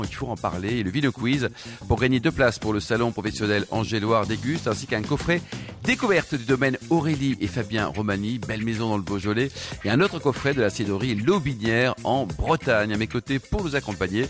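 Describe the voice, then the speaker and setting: Fast-talking male radio host. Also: on the low side. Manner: brisk, upbeat announcer delivery. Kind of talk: radio talk show hosting. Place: radio studio.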